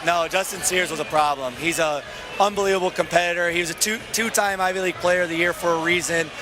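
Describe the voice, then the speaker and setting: Raspy-voiced young coach, candid and joking. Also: male voice, sits high, steady tone, quick pace, noisy background. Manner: candid, self-deprecating, joking. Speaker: first-time head coach. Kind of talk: postgame interview.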